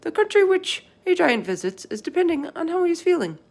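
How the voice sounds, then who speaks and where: Storybook friendly giant, played by a woman: earnest, excitable, emphatic, tumbling through garbled folksy speech. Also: very high-pitched, a little fast, voice pushed up, full character voice. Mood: emphatic explaining. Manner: emphatic, garbled folksy grammar with made-up words.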